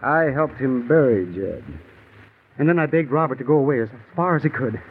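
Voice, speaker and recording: An actor playing a scheming stepbrother who gives halting, earnest testimony in 1950s American radio style. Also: male voice, mid-range voice, lively intonation, lightly acted. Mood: feigned reluctant sorrow. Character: scheming stepbrother feigning reluctant, sorrowful honesty on witness stand. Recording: noisy, tinny 1950s radio recording.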